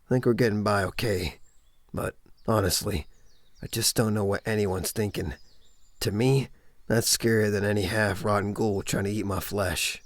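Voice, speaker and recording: A man's voice: fairly low pitch, steady tone, moderate pace, no room sound, lightly acted. A man playing a level-headed cop surviving a zombie apocalypse in a comic dub drama. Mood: shaken, reflective.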